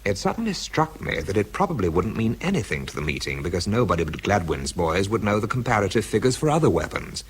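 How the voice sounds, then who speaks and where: A scientist's aide telling his own story in a radio drama. The voice is deep and slightly rough, and the delivery is understated and wry. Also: sing-song, fast talker, few pauses, poor audio. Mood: dry recounting. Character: dry, wry young scientific aide narrating. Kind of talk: first-person radio drama narration.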